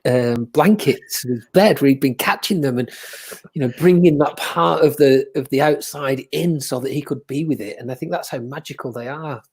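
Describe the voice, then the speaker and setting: A man who works with children, reflecting in a soft, thoughtful way during a casual chat on a video call. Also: mid-range voice, normal speed, husky. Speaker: educator and co-host.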